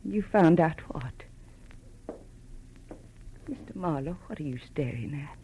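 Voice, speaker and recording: A woman's voice: sits low, animated, slow pace, warm tone, slightly rough, exaggerated voice, rough recording. An actress playing a middle-aged, genteel shopkeeping mother with polite, careful restraint in 1940s radio drama. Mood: polite, subdued. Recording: old radio recording with faint noise.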